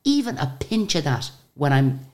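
An Irish woman with a very deep voice, a singer chatting as a guest and telling stories in a lively, animated way. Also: normal speed. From Ireland. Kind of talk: casual interview storytelling.

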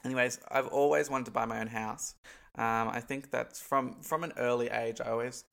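A young Australian man talks loosely to camera, his nose blocked up and stuffy. His voice carries a gravelly fry at the ends of phrases, and he rambles through ums and likes as he goes. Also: mid-range voice, fairly even intonation, normal speed, a bit bright. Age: young adult. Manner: rambling, many ums and likes, laughs between points. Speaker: vlogger. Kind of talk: casual to-camera chat.

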